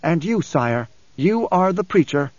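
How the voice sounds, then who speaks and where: A man voicing a small boy prophet in an audiobook, ancient beyond his years, speaking with calm, formal assurance. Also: on the high side, a little slow, no echo, dull audio, voice pushed up. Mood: knowing.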